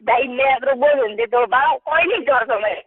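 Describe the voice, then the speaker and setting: A woman's voice: sits high, expressive, quick pace, slight background noise. A young woman with a rural Rajasthani Marwari accent, complaining in colloquial speech during a private phone conversation. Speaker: caller, niece confiding in relative. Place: recorded telephone call. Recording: muffled narrow telephone line.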